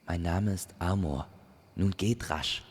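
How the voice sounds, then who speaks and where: A man's voice: sits low, slow, husky, full character voice. A man playing a gallant, protective male archer with courtly, commanding words in a fairy-tale audio story.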